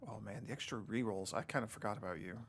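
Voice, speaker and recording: A man's voice: lowish voice, quick pace, a bit bright, a little hiss or hum. A congested, sniffly man hosting a let's play at home, thinking aloud casually and reading game text out as he plays. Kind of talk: casual gameplay commentary. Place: home, indoors.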